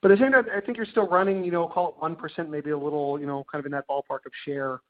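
A man's voice: on the high side, steady tone, fast talker. A man speaking as a financial analyst, putting informal questions on an earnings call over a telephone line. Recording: tinny phone line.

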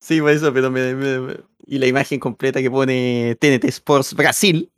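A man's voice: medium pitch, steady tone, moderate pace, clean tone. A man talking casually as a football commentator during a live match. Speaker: football commentator on a group broadcast.

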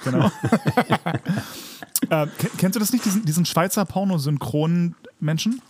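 A man's voice: sits high, measured pace, a little mellow, a little hiss or hum. A man co-hosting a podcast in casual chat, colloquial and prone to repeating short phrases. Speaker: podcast co-host.

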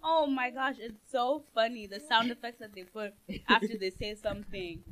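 A woman talks on a casual podcast, laughing often and telling her stories with lots of energy. Her voice is bright and sits a little high. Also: normal speed. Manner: laughs often, animated storytelling. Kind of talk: casual chat.

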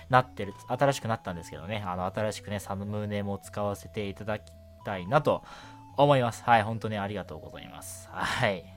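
A man hosting a casual, chatty night radio show in a relaxed, gently self-deprecating way. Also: fairly low pitch.

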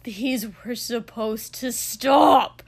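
A woman voicing a furious, volatile young man in an audiobook story, with a forceful, explosive delivery. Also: fairly high pitch, extremely slow, husky, heavily acted.

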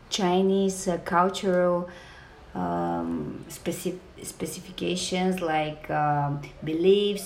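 Bulgarian woman, 30s, podcast host; slow, gravelly, lowish voice, earnest and halting. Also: darkish tone, a little hiss or hum. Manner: hesitant, pauses often with fillers and restarts; earnest and enthusiastic. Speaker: Chinese language podcast host. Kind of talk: self-introduction to listeners.

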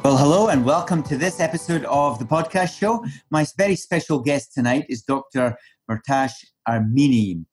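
A man's voice: medium pitch, normal speed, slightly rough, soft background music. Man hosting a podcast interview. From Canada. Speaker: podcast host, physician.

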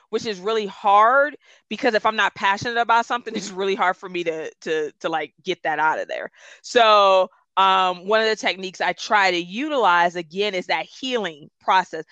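An emphatic, passionate speaker whose first language is Gullah, talking in a bright, forceful voice. The sound is dry and close, with no room in it. They press their points firmly, then slow down to think them through. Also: medium pitch, clean tone, no echo. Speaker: guest, consultant. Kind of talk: conversational interview.

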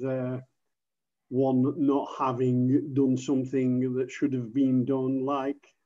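Slow British-accented man, muffled sound. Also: mid-range voice, mellow. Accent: British English. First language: English. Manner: measured, slightly formal. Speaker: podcast guest, native speaker. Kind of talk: giving example sentences in a lesson.

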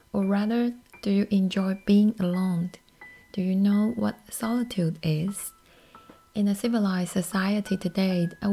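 A woman from Taiwan hosts her own show in English with a Taiwanese accent and a mellow, warm tone. Her first language is Mandarin, and she reads a scripted personal monologue. Her delivery is soft and airy, often close to a whisper. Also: mid-range voice. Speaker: podcast host.

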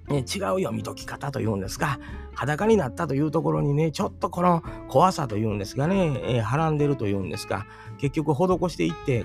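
A man's voice: medium pitch, creaky, darkish tone. A middle-aged man with a Kansai accent musing aloud about a fairy tale in a chatty way, often turning to his listeners with questions. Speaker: storytelling channel host. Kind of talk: casual spoken commentary on a fairy tale. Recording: music playing behind the voice.

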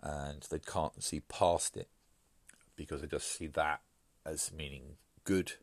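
A man with an extremely deep, low voice hosts his solo podcast, speaking in a measured, halting manner full of "uh"s and restarts. He sounds stuffy and bunged up from hay fever. The recording is close and dry. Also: fairly even intonation, no room sound. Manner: measured, hesitant with fillers and restarts. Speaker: podcast host.

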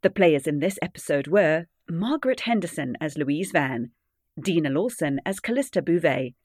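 Low-voiced woman announcer, dry sound. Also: expressive, moderate pace, a little mellow, dry recording. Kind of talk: scripted credits narration.

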